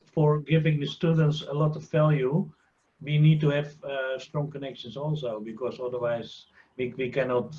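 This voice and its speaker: A man's voice: medium pitch, level tone, normal speed, warm tone. Man, teachers' association rep, earnest, dull lo-fi audio. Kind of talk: video meeting discussion.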